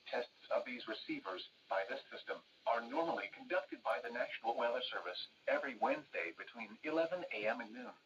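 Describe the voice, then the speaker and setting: A male weather radio announcer reads scripted bulletins in formal, segmented phrases with lively swings in pitch. The sound is muffled, with some faint noise, like a radio playing back. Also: a little fast, few pauses. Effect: radio broadcast. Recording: dull, noisy weather radio playback.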